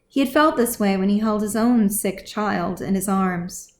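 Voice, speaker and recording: A woman's voice: medium pitch, a little slow. A woman reading scripted fantasy fiction as audiobook narration, as the author of the story.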